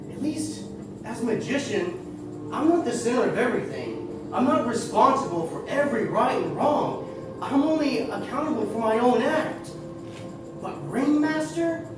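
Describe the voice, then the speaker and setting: A woman playing a guilty, scheming cyborg magician and reluctant ringmaster in a theatrical soliloquy. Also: a little high. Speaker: stage actor. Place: theater stage, distant microphone. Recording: echoey theater, distant mic, music behind.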